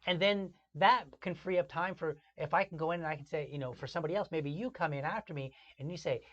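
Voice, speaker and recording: A man's voice: fairly high pitch, fast talker, a bit bright. A man hosting a casual podcast, affirming with "right" and restarting phrases as he explains. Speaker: podcast host. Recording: dull lo-fi podcast audio.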